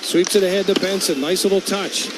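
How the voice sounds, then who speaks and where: A North American man calls hockey play-by-play in a notably high voice. He speaks as a live radio commentator, with clear noise from the arena around his booth. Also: steady tone, fairly quick.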